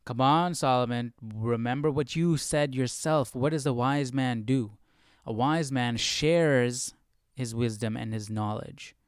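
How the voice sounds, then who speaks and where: A man's voice: medium pitch, a little slow, clear, resonant tone, no room sound, clean studio sound. A man hosting a Bible study livestream, talking casually and off the cuff, searching for words and stretching phrases for emphasis. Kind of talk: casual unscripted commentary.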